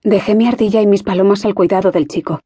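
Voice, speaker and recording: A woman's voice: mid-range voice, fairly even intonation, fast, mellow, husky, dry recording, clean studio sound, natural voice. A woman voicing a homesick schoolgirl in an audiobook, speaking with bitter, tearful complaint. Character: homesick, resentful schoolgirl Susan. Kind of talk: audiobook character reading.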